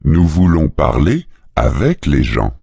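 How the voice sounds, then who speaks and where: A man saying model phrases slowly and deliberately for learners in a language lesson. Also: very deep voice, huge pitch swings, warm tone, no echo. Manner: deliberate, clearly spaced phrases. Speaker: French speaker in a language lesson.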